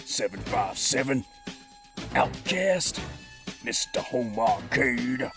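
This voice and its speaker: A man puts on a cocky cartoon crime boss with a menacing streak. He delivers a scripted review in theatrical street slang, taking his time with an unhurried pace. Music plays faintly behind him. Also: mid-range voice, very animated. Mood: grateful, swaggering. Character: cocky, menacing cartoon master criminal toy, slangy gangster. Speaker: host of a toy figure review. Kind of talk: scripted in-character review with radio-drama flair.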